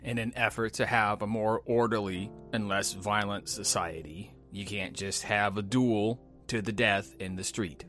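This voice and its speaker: A man's voice: fairly low pitch, steady tone. A man reading an earnest, scripted introduction to a podcast episode. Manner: earnest, measured delivery. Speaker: show narrator. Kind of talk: scripted narration. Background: soft music.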